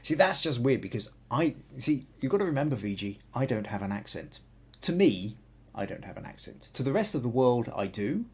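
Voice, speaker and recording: A man's voice: mid-range voice, big pitch swings, brisk, darkish tone, breathy edge. A middle-aged man with a Southern English accent, hosting a photography podcast and thinking aloud with restarts as he critiques photos. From England. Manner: thoughtful, rambling with restarts and fillers. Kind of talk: live photo critique and chat.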